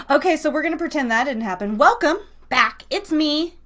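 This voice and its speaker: A woman's voice: sits high, a bit bright. Casual, chatty woman hosting live radio. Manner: informal, chatty, self-conscious rambling. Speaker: live radio show and podcast host. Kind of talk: casual live show chat.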